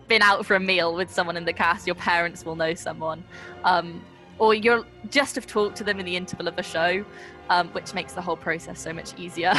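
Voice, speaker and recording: A woman's voice: on the low side, pushed and bright, low-quality recording. A young woman with an English accent chatting casually in an interview. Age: young adult. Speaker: youth theatre member being interviewed.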